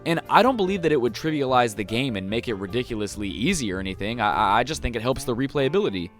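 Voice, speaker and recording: A man's voice: medium pitch, big pitch swings, fast talker. Young American guy, slangy game reviewer over music. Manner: slangy, profane, emphatic. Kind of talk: casual scripted-sounding game review to viewers. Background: music under the voice.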